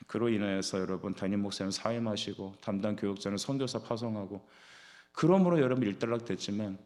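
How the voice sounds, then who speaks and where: A man's voice: lowish voice, a little mellow. A man preaching an expository sermon as a pastor, exhorting his listeners and often putting rhetorical questions to them.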